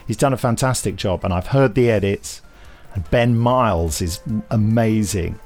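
A man's voice: lowish voice, moderate pace, a little mellow, faint music behind. A man hosting a podcast, moving between scripted announcements and playful, enthusiastic chat. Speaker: podcast host.

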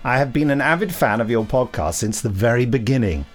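A British man co-hosts a podcast in a casual, chatty way. His mid-pitched voice has a lot of movement in it, with music playing faintly underneath. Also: lively intonation, brisk. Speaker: podcast co-host. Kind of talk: casual chat and reading emails.

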